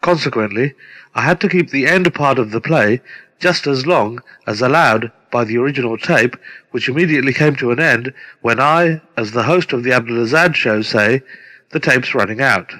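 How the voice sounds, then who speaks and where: Middle aged guy presenting, reads it out formal n deliberate, medium pitch, tons of reverb. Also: sharp tone. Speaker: presenter introducing his own radio play. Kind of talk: scripted narration. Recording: long hall-like reverb.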